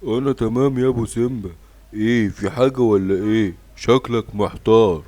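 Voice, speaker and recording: Actor as cartoon shop owner, Egyptian accent, lowish voice, easygoing and helpful. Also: male voice, normal speed, a little mellow, slight background noise, natural voice. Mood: obliging. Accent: Egyptian Arabic. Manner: obliging, easygoing salesman. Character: friendly male lion shopkeeper, helpful dealer.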